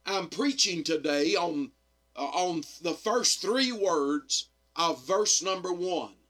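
A middle-aged man, slightly hoarse, preaching earnestly on radio with frequent amens and pauses. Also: high voice, lively intonation, fairly bright tone. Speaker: radio evangelist and pastor. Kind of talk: radio sermon.

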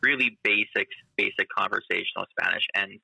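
A male teacher talking in a casual conversation, halting and full of fillers. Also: fairly low pitch, vocal fry, pushed and bright, slightly rough, no echo, poor audio. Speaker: teacher and guest.

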